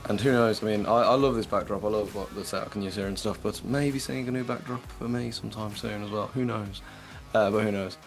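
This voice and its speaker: A man in his late twenties with an English accent and a slightly croaky voice, co-hosting a casual football podcast and chatting with lots of fillers. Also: lowish voice, fairly even intonation, a little fast, soft background music. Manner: chatty, many fillers and restarts. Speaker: podcast co-host.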